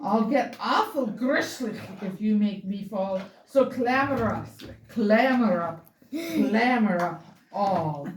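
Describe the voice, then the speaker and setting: A woman's voice: mid-range voice, lively intonation, takes it slowly. A woman voicing a grumbling, sour old man who barks rhyming orders at cattle in a storybook. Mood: grumpy, threatening. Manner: bossy, growling commands in rhyme. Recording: roomy, distant mic, faint noise.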